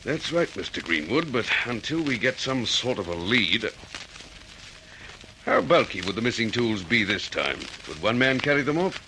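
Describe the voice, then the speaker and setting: An actor playing a dogged, frank police detective in a radio drama, with measured, emphatic delivery. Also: male voice, mid-range voice, big pitch swings, bright, forceful tone, slight background noise, rough recording. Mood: businesslike. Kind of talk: old-fashioned radio drama acting.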